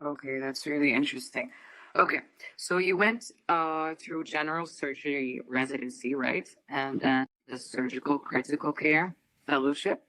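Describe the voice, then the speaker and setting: A young woman in her twenties moderates the interview with a bright, forward voice. The recording is close and dry, with no room around her. Her questions come out earnest and a little halting. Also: deep voice, fairly even intonation, dry recording, low-quality recording. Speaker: podcast moderator, medical student. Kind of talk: scripted intro and interview questions.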